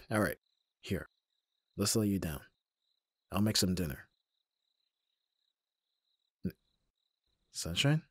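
A man performs a young male bodyguard in an ASMR roleplay, abrasive on the surface and soft underneath. His voice is fairly low and husky, with exasperated, protective delivery. The recording is dry, with no room sound. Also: expressive, slow pace, long pauses, dry recording, exaggerated voice. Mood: concerned, gentle. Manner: gruff exasperated sighing, protective. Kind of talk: ASMR roleplay audio drama, acting to the listener.

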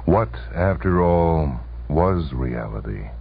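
A man giving a dramatic scripted narration in 1970s radio style, grave and intense, with deliberate phrasing. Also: very deep voice, animated, creaky, slightly rough. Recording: tinny, faintly noisy old radio recording.

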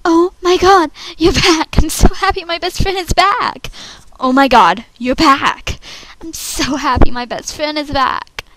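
A woman playing an outspoken schoolgirl from an animated series in short, dramatic readings. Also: sits high, no room sound, rough recording. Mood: excited.

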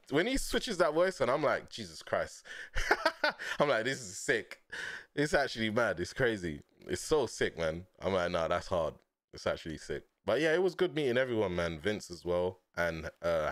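A man in his thirties tells stories on a stream, slangy and laid-back, breaking into laughter. His low voice swings up and down a lot. The sound is close and dry from a headset mic. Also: a bit bright, dry recording. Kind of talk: casual stream chat. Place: small dim room at home.

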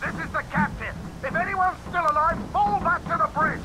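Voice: very high voice, moderate pace. An actor playing an urgent, commanding ship's captain over the radio in a video game. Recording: loud engine and storm noise behind.

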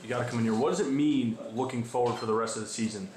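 A man's voice: mid-range voice, rapid-fire, darkish tone. A man asking questions as a sports interviewer after a game. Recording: echoey room.

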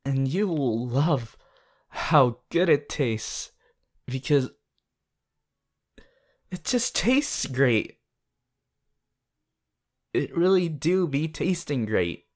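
A woman playing an eager, affectionate teenage boy hero in a fan ASMR roleplay, gushing and halting as she playfully addresses the listener. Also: very deep voice, expressive, darkish tone, full character voice. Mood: eager, coaxing. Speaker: voice actor in a fan character audio.

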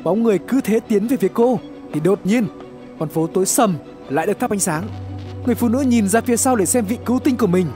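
A man narrating a scripted horror story in a suspenseful, expressive, dramatic way. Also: high voice, quick pace. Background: background music.

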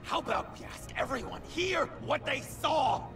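A man playing a manic, theatrical clown vigilante in a video game, with a creaky, strident voice and dramatic emphasis. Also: very high-pitched, expressive, moderate pace, fairly bright tone, recorded from a distance, soft background music. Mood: righteous fury.

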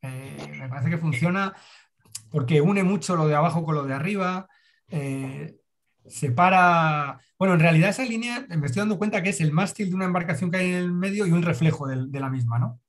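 A middle-aged man with a fairly high voice gives kind, rambling critiques of watercolors. He speaks over a video call from a room at home, restarting phrases as he hunts for the right word. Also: a little fast. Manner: encouraging, hesitant repeats. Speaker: watercolor teacher giving feedback.

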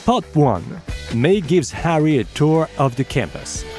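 A man's voice: on the high side, animated, a little slow, a little mellow, soft background music. A man narrating a scripted bilingual audiobook lesson as a teacher, addressing the listener in an encouraging way.

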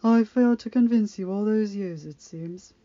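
A woman putting on an ancient, gentle enchantress. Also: mid-range voice, moderate pace, no room sound, highs cut off, lightly acted.

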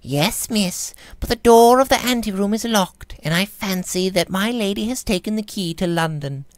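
A man's voice: high voice, lively intonation, moderate pace, no echo, voice pushed up. A man voicing a meek young lady's maid, deferential and hesitant, in a novel reading. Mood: apologetic.

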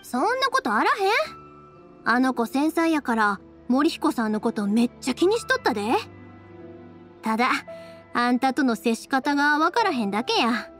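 An actress playing a cheerful, big-hearted young fireworks maker in an anime game, speaking with a Kansai accent. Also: female voice, sits high, normal speed, faint music behind. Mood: reassuring. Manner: lively, friendly, expressive dialect talk. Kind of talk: Japanese anime game voice acting.

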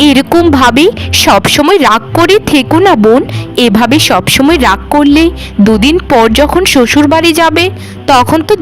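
A woman's voice: on the high side, natural voice. A woman voicing a meek, long-suffering young married woman in a cartoon, speaking in a placating, pleading way. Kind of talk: Bengali cartoon voice acting.

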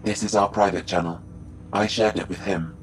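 An actor playing a calm, formal artificial intelligence companion in a video game, speaking in a measured, even manner. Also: male voice, on the low side, faint music behind, lightly acted. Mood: calm, solemn.

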